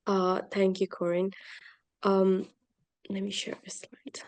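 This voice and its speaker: A woman's voice: mid-range voice, monotone, slow pace, a little mellow. A young woman from Nepal, a glacier researcher, presenting her work on a video call with many hesitant fillers. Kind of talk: presenting over slides in a webinar.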